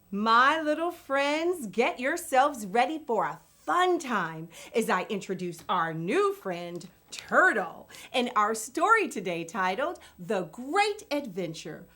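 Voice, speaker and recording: Bright, playful woman hosting story time. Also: a little high. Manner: playful, theatrical. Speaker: children's story time host. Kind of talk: animated address to young children.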